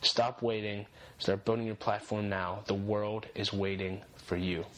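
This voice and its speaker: A man's voice: fairly low pitch, little pitch movement, a little slow, a bit bright, rough recording. Earnest young man giving faith-based encouragement. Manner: earnest, encouraging, repeats key phrases for emphasis. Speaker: podcast host.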